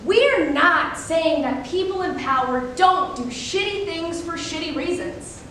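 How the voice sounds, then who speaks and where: A woman's voice: lively intonation, measured pace, a bit bright, heavily acted. A woman delivering scripted lines in a rehearsed reader's theater performance. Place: large echoey room, distant microphone. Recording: very echoey hall, distant, faint rumble.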